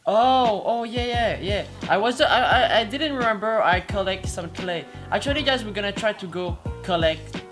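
A young man who is not a native English speaker, excitedly commentating his own gameplay from a headset in a small room, stumbling and exclaiming often. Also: high-pitched, moderate pace, faint music behind. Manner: excitable, hesitant, frequent exclamations. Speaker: gaming channel host.

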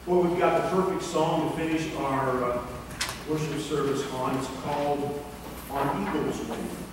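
A man preaching a sermon in a church, earnest and deliberate, with emphatic rises on key phrases. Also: fairly high pitch, steady tone, noisy background. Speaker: pastor. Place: church sanctuary. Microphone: distant camera mic. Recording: echoey church hall from far off.

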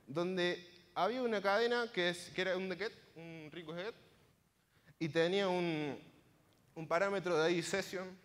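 The young Argentine guy on stage at a tech meetup, telling his story casually in a lightish, higher voice that rings around the big auditorium. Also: fairly bright tone. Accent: Argentine Spanish. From Córdoba province, Argentina. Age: 20s. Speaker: conference speaker, software engineer. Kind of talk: informal conference presentation. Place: large auditorium stage, indoors. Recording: reverberant hall sound from a stage.

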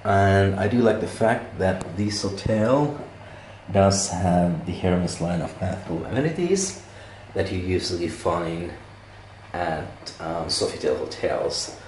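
A man giving a casual, unscripted hotel room walk-through as a travel blogger, halting and repeating words, recorded on a handheld camera. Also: deep voice, expressive, darkish tone, a little hiss or hum, rough recording. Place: indoors, moving around a hotel room. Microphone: handheld camera, operator behind it.

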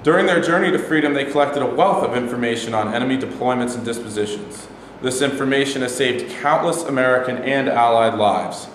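A young man playing a solemn army brigadier general, giving a formal, measured ceremonial speech in a play reading. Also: mid-range voice, close to an everyday voice. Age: young adult. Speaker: actor in a staged reading. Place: bare indoor room, standing. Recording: very echoey hall, faint noise.

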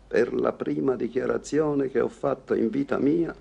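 An actor playing a gentle, self-mocking old recluse wounded in love, husky and halting, in an Italian radio play. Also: male voice, mid-range voice, lo-fi sound, exaggerated voice. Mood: agitated, bitter. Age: older. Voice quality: gravelly, husky. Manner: halting, rueful, bitterly self-deprecating.

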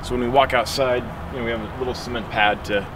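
A man's voice: medium pitch, quick pace. A man in his forties, a fish store owner, chatting casually as he walks through his unfinished building. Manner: chatty, relaxed, explaining as he walks. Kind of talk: casual walkthrough vlog. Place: outdoors and inside an unfinished open building. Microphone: wireless mic on a handheld camera shoot. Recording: noticeable outdoor background noise.

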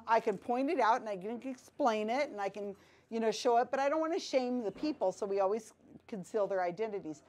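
A middle-aged woman speaking casually to an audience in a small pub room, animated and conversational with many asides. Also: a little high. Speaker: guest speaker. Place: small wood-paneled pub back room.

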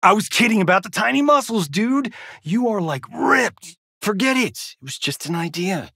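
A man's voice: sits high, very animated, normal speed, sharp tone, breathy edge, no echo, studio quality, heavily acted. An actor playing a cocky, wisecracking male Hollywood action star in a fighting video game, with a smug, flippant, showboating delivery. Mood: teasing, flippant.

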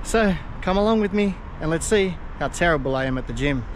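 A man's voice: on the high side, animated, brisk, slight background noise. A man, casually narrating his gym workout in a self-deprecating, upbeat way on an action camera. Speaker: vlogger. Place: gym, indoors, and outdoors by a car. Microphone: handheld action camera. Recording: music playing behind. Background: background music.

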